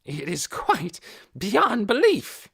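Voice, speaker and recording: A man's voice: on the high side, wildly swooping pitch, unhurried, creaky, sharp tone, pitched above the performer's own voice, heavily acted. A reader voicing a tall, stooped, pompous man who speaks formally and with indignation in an audiobook. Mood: indignant. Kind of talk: audiobook character voice.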